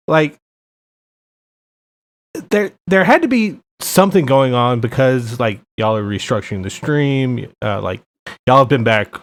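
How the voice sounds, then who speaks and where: A man hosting a casual podcast interview, asking teasing, easygoing questions. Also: mid-range voice. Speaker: podcast host.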